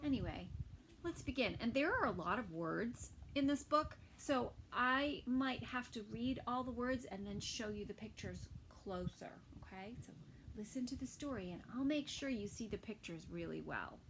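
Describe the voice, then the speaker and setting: A woman teacher reading a picture book aloud to small children, gentle and unhurried, with soft whispered asides. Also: on the high side, lively intonation, slight background noise, low-quality recording. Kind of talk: children's story time read-aloud.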